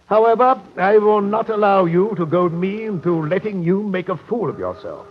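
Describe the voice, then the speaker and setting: Pompous, animated British captain, highish voice. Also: male voice, normal speed. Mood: indignant, blustering. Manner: pompous, blustering, flowery phrasing. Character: pompous, long-winded British captain, genteel roguish adventurer. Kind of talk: old-time radio detective drama acting. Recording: muffled old radio recording, faint noise.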